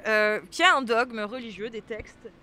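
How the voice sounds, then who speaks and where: A woman's voice: a little high, moderate pace, pushed and bright. A woman conducting a casual vox pop interview as the street interviewer. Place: outdoors on a city street. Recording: outdoor street with light traffic noise.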